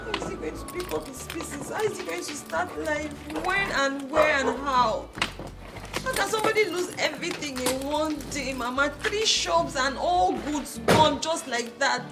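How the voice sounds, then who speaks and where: Young daughter, distraught, pleading, very high voice, faint music behind. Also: female voice, moderate pace. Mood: despairing. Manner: wailing, pleading, repetitive. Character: distraught young woman, grieving fiancé's loss.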